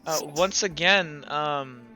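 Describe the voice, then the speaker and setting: A man acting as game master, improvising narration for a tabletop game in an informal, halting way. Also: a little high, pushed and bright. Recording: music behind the voice.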